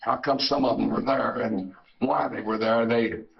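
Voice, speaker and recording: An older man, a Navy veteran, reminiscing in an interview, halting with many fillers and restarts. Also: mid-range voice, creaky, lo-fi sound.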